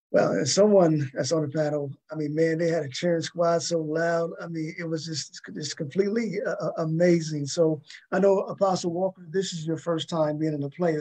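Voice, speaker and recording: A man hosts a conversational talk show. His voice is soft-edged and mellow, sitting a little higher than most men's. He rambles toward his questions, doubling back on words. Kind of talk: conversational interviewing.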